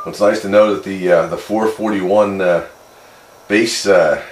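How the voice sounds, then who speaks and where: A man talking casually as a radio operator, unhurried, with hesitant pauses, in a noisy, roomy recording. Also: sits low, steady tone, over loud music. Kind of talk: casual two-way radio chat.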